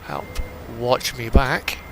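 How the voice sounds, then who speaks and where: A man talks his way through a truck simulator, working things out aloud. His voice has a gravelly fry and moves up and down in lively swings. The engine and vehicle sounds of the game are clearly heard behind him. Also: medium pitch, slow, noisy background. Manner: musing aloud, thinking through each step. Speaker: gamer narrating a truck driving simulator. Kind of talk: casual gameplay commentary. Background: truck engine and reversing beeps from a game.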